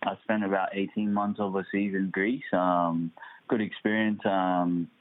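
A man's voice: deep voice, level tone, measured pace, no echo. A young man, a footballer born in Kenya and raised in Adelaide, answering interview questions with many hesitant ums. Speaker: footballer guest. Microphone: phone call. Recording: tinny phone line.

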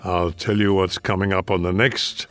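An older man hosting, announcing the next shows in a slow, measured way. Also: deep voice, little pitch movement, fairly bright tone, soft background music. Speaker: host. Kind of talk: scripted announcing.

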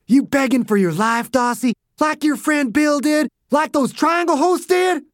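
A man's voice: on the high side, unhurried, dry recording, clean studio sound. A man voicing a brutal, menacing man who threatens and snarls at a younger man. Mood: furious.

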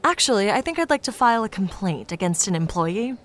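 A woman playing a weary, earnest male investigator in an audio drama, narrating in a dry, deadpan way. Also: mid-range voice, a little fast, natural voice. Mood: dry, suspicious. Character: weary, earnest male podcast investigator telling his strange story. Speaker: narrator and lead character. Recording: loud music under the voice. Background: loud music.

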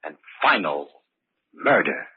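An actor playing a grave, ominous narrator of a murder thriller, with measured old-time radio delivery. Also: male voice, very deep voice, sing-song, loud music behind the voice, full character voice. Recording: muffled old radio recording.

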